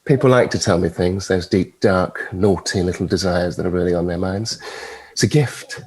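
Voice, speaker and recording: An actor playing a suave, mischievous devil with a silky, teasing, theatrical manner at a table read. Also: male voice, low-pitched, moderate pace, darkish tone, slight background noise, low-quality recording. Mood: seductive, teasing. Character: suave, mischievous devil, a retired Lord of Hell.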